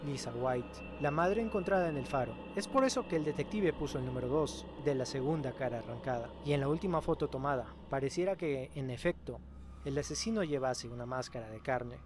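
A man's voice: mid-range voice, brisk, noisy background. A man reading scripted narration for a horror analysis video. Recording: music under the voice. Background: music.

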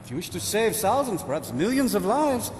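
An actor playing a pompous, theatrical scientist villain with a messianic streak in a video game. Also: male voice, high voice, sing-song, brisk, noisy background. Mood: pleading. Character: grandiose male scientist villain, messianic and pleading. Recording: echoey reverberant hall with music behind.

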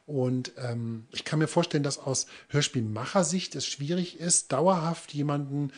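A man's voice: on the high side, moderate pace. Man in conversational review. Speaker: podcast co-host and audio drama reviewer.

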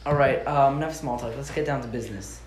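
A man's voice: medium pitch, fast, heavy background noise, lightly acted. A young man playing a businesslike buyer who makes a phone deal and haggles, in an amateur school play recorded in an echoey room. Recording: echoey room with music behind.

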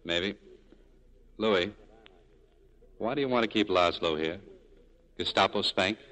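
An actor playing a guarded, cynical American cafe owner in a terse, deadpan manner, in 1940s radio style. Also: male voice, deep voice, slow pace, full character voice. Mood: wry, cool. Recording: 1940s radio broadcast recording.

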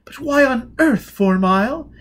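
Man putting on a gushing high-pitched society lady. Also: expressive, a little slow, a bit bright, full character voice. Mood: eager curiosity. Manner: exclaiming, theatrical.

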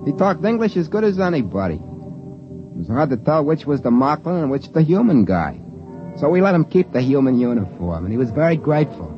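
A man's voice: fairly high pitch, very animated, a little fast. An actor playing a wistful, folksy spaceman narrating his own story in a colloquial way for 1950s radio drama. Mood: relaxed. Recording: dull 1950s radio recording, music behind.

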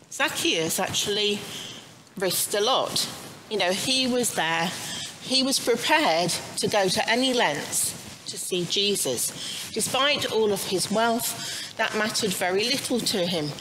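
A middle-aged woman giving an informal children's sermon as a priest, chatty and halting, with frequent asides. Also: medium pitch. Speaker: priest leading a children's service. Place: inside a church, standing before the altar. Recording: clearly audible background noise.

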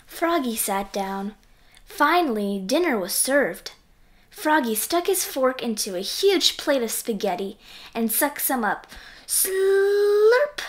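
A woman with a high voice reads a children's storybook aloud. She goes slowly, swinging widely in pitch and playing up every sound word in an animated way. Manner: animated, acting out sound effects. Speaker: reader of children's books. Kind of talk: expressive storybook read-aloud.

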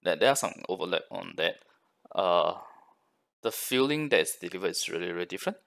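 A man's voice: deep voice, lively intonation, brisk, vocal fry, a bit bright. A man hosting a gaming reaction video, giving casual, analytical commentary in a conversational way.

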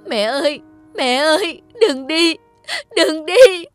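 An emotional young son voiced by a woman pleads with remorse in a cartoon fairy tale. The voice sits rather high, over light music. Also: animated, slow pace. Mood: desperate pleading. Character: remorseful, reckless young man, devoted son. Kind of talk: animated fairy tale voice acting.

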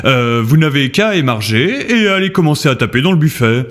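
A man's voice: mid-range voice, animated, fairly quick, a bit bright, natural voice. A man playing an exasperated, sarcastic, flippant meeting chairman in a comedic sci-fi radio play. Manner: sarcastic, hesitant fillers, swooping outbursts.

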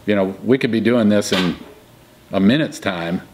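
Folksy Missouri farmer, low voice. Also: male voice, normal speed, darkish tone, a little hiss or hum. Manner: thinking aloud, folksy. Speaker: farmer and crop insurance agent. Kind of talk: casual interview answers. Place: indoor room with curtains.

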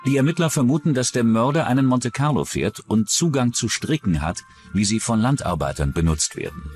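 A man's voice: mid-range voice, expressive, brisk, a little mellow, soft background music. A man narrating a scripted true-crime documentary as its narrator.

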